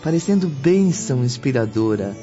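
A man's voice: medium pitch, very animated, lightly acted. Male actor as contemplative memoir narrator, radio drama, lyrical, swooping intonation, muffled recording. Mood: contemplative, admiring. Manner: slow, lyrical, contemplative delivery. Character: reflective male memoir narrator recalling the afterlife. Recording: muffled recording with faint music.

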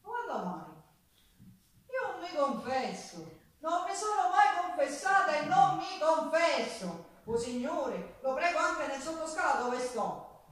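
A woman's voice: high voice, very animated, unhurried, a bit bright, full character voice. An actress playing a poor, rebellious Sicilian woman in a theatrical monologue, earthy and defiant, with a Sicilian accent. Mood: indignant. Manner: earthy, defiant, dramatic storytelling. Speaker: stage actor and singer. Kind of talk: theatrical monologue with folk singing. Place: theatre stage. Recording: echoey theatre, distant mic, guitar music. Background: acoustic guitar.

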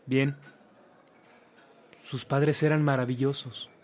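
A man's voice: medium pitch, level tone, slow, darkish tone, a little hiss or hum, close to an everyday voice. A young man playing an earnest, reflective student who reads a story aloud in a radio drama.

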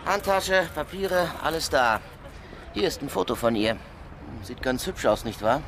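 An actor playing a dry, learned police inspector, reporting methodically in clipped phrases in a radio crime drama. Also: male voice, fairly high pitch, expressive, measured pace, a bit bright, a little hiss or hum. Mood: brisk, factual. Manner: matter-of-fact, clipped reporting.

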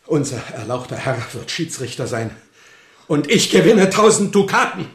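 An actor playing an agitated, jealous count in a German radio drama, theatrical and swinging between hushed remorse and forceful command. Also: male voice, a little high, very animated, normal speed, reverberant. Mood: bitter regret. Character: agitated jealous count, a husband regretting a reckless wager.

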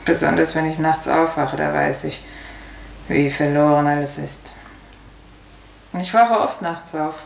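An actress playing a weary, hard-drinking older serf aunt in a radio play, resigned and sardonic in a measured delivery. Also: female voice, low-pitched, vocal fry. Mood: bitter resignation. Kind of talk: 1950s German radio play acting. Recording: echoey, hissy 1950s radio recording.

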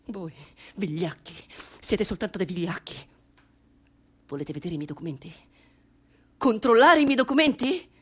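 An actress playing an anguished, defiant grieving mother in radio theatre, intense and theatrical, with a husky voice that often drops to a whisper. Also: mid-range voice, lively intonation, moderate pace, pauses often, fairly bright tone. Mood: defiant outrage. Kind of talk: dramatic radio theatre monologue.

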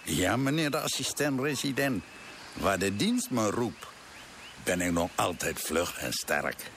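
A dignified elderly regent, low-voiced. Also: male voice, sing-song, a little slow, creaky, a bit bright, a little hiss or hum, exaggerated voice. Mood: courteous, proud. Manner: courteous, ceremonious. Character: dignified, dutiful elderly Javanese regent, still vigorous. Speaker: actor in a radio play. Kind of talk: Dutch radio drama acting.

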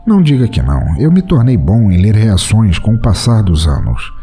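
Male podcast narrator, low and mellow, brooding, expressive reading. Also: normal speed, slightly rough, soft background music. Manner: brooding, bitter, intimate. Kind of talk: dramatic first-person story narration.

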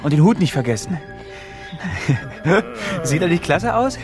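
Mocking kidnapper, raised pitch, cheeky, bossy, radio play, music underneath. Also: male voice, sing-song, slow, full character voice. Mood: gleeful. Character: brash, mocking male kidnapper bossing people around. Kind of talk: radio crime comedy acting. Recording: clear music behind the voice.

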